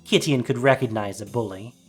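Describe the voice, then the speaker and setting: A man's voice: mid-range voice, fairly quick, faint music behind. A man narrating a scripted story as a dramatic storyteller.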